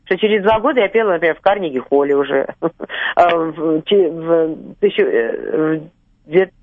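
An older woman from Russia, a singer, speaking emotionally in a radio interview, with drawn-out fillers and stray English words. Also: low voice, slight background noise. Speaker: singer guest. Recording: tinny phone line, dry.

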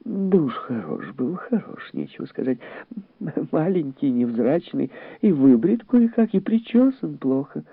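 Man as smug society woman, high swooping pitch, gossipy, gushing, easily shocked, audiobook character. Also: very animated, a little slow, gravelly, husky, no room sound, heavily acted. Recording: narrow, phone-like lo-fi sound.

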